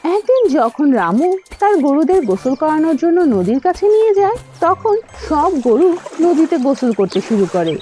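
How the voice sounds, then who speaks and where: Very high, animated woman storyteller. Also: normal speed, faint music behind. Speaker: narrator. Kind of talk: scripted children's story narration.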